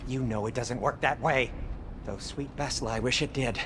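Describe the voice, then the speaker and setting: A man's voice: medium pitch, moderate pace, close to an everyday voice. A male actor playing a fussy, anxious fantasy shopkeeper in a video game, prim, wordy and fretful. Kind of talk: video game dialogue acting. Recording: noisy game ambience behind voice.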